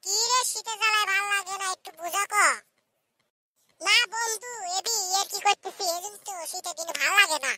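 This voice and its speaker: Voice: extremely high pitch, brisk, bright, forceful tone, exaggerated voice. A performer voicing a cheeky young village lad in a comic cartoon, with a childlike voice, teasing and chatty. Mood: friendly, grumbling. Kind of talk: comic Bangla cartoon dialogue. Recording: noisy recording with clicks.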